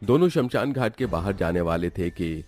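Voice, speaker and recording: A male narrator with a very low voice tells a scripted horror tale. Background music runs beneath his storytelling. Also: expressive, normal speed, darkish tone. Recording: music under the voice.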